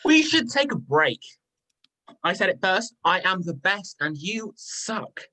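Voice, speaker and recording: A smug, teasing trickster god acted by an amateur reader, with a lively voice that swings up and down. He is playful and mocking in a staged myth reading. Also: medium pitch, unhurried, exaggerated voice, poor audio. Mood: petulant. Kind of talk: amateur dramatic play reading.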